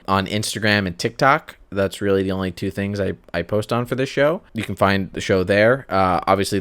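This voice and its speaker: A man with a lowish, smooth and clear voice hosts a podcast on his own. He chats casually and rambles, often breaking off his own sentences for self-aware asides. The recording is close and dry, with no room sound. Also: dry recording. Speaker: podcast host. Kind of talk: casual solo podcast chat.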